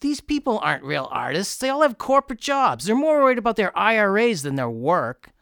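Man acting indignant male artist, high-pitched, animated, whiny, aggrieved, snobbish, storybook character. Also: a little fast, creaky, dry recording, studio quality, exaggerated voice.

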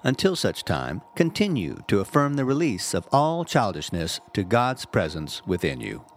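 A man reading a scripted inspirational message as a reverend, in a measured, earnest and carefully phrased way. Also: on the low side, wildly swooping pitch, slight background noise. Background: background music.

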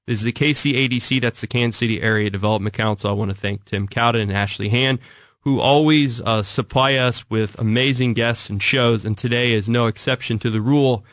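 A man's voice: mid-range voice, brisk, a bit bright, no echo. An American man hosting an interview show in a conversational style, introducing guests and asking questions. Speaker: talk show host.

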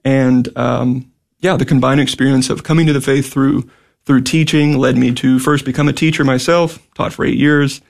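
A man from the Houston area, a guest on a radio interview, speaking in a measured way with some fillers. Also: mid-range voice, steady tone, creaky. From Houston area, Texas. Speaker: guest, school vice president. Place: radio studio.

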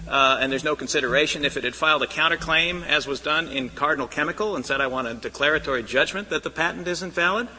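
Loud, insistent courtroom lawyer. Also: male voice, on the high side, a little hiss or hum. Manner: firm, insistent, persuasive. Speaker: lawyer arguing before a high court. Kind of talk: formal courtroom argument. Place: large courtroom. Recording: reverberant archival courtroom recording.

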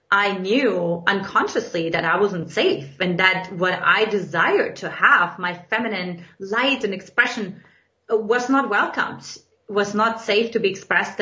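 A woman's voice: medium pitch, pushed and bright. A woman from Eastern Germany with a German accent, a podcast guest telling her story in an earnest, reflective way. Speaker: guest, dating coach. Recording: echoey, dull lo-fi sound.

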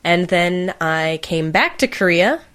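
A woman with a voice a little on the low side chats casually as a podcast co-host. Her delivery is informal, with a playful, teasing edge. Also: expressive, takes it slowly, fairly bright tone. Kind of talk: casual co-hosted chat.

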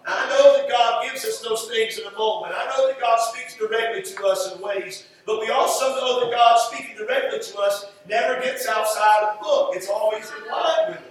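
A man preaching a conversational Bible-teaching sermon, halting at times and repeating phrases for emphasis. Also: extremely high pitch. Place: echoey church room. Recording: roomy echo, distant mic, faint noise.

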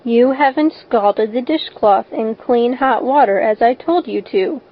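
A woman's voice: fairly high pitch, normal speed, lightly acted. A woman voicing a stern, practical older guardian in an audiobook, firm and matter-of-fact, on a slightly dull recording. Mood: immovable. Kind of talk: audiobook character voice.

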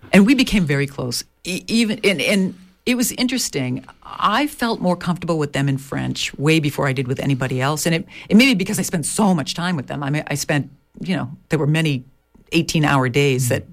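Reflective woman author, lowish voice. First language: English. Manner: reflective, many restarts and fillers. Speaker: author and midwife. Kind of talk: interview conversation.

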